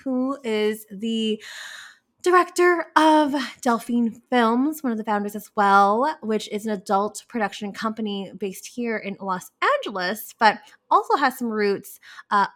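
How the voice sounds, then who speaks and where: A woman's voice: a little high, fairly bright tone. A woman hosting a casual podcast interview, chatty, with lots of fillers and frequent laughs. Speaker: podcast host.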